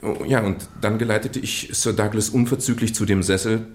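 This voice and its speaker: A man acting in a German radio drama as a British gentleman nephew, earnestly and haltingly confessing a well-meant deception in genteel, roundabout phrases. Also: on the low side, steady tone, a little hiss or hum, natural voice. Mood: eager, justifying. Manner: hesitant, roundabout, genteel storytelling with stammered repeats.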